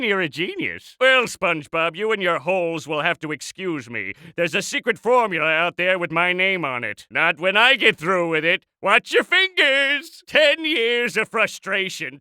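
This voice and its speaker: Pompous tiny cartoon villain, sharp and forceful. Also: male voice, very high-pitched, creaky, no room sound, full character voice. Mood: gloating triumph, then anguished frustration. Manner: pompous, theatrical, sarcastic. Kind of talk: cartoon video game voice acting.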